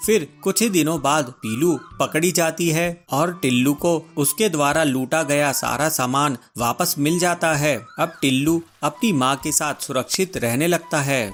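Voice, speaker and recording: A man's voice: a little high, a bit bright. Male storyteller over background music. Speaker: narrator. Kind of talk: scripted children's story narration. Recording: music under the voice.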